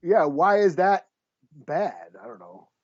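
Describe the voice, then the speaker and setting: A man's voice: high-pitched, very animated, slow pace, darkish tone. A man co-hosting a podcast, chatting casually in laid-back, profane slang. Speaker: podcast co-host. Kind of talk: casual chat. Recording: dull, dry lo-fi podcast audio.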